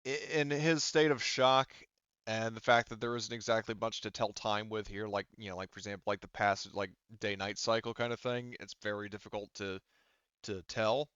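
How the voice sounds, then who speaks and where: A man running a tabletop roleplaying game as game master, improvising casual narration in a halting, self-correcting way with many fillers. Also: on the low side, steady tone, fairly bright tone, clean tone, no echo, muffled recording.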